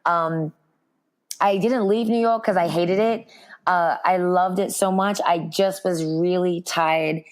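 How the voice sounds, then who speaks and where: A hoarse woman in her thirties with a raspy, softened Australian accent, chatting as an interview guest with frequent ums. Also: fairly low pitch, steady tone, takes it slowly. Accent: Australian, softened by years in the US. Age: 30s. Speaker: guest, fitness speaker. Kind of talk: casual interview chat.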